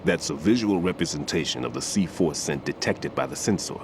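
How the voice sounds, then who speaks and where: A man playing a methodical, somber veteran bomb disposal expert in a video game cutscene, explaining precisely and gravely. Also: very deep voice, lively intonation, brisk, noisy background. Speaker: demolition expert giving instructions.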